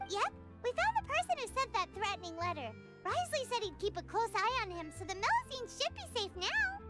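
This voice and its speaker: Tiny childlike game fairy, squeaky, cheerful, very bouncy intonation, loud music behind. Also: female voice, sing-song, brisk. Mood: earnest, chatty. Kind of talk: video game voice acting.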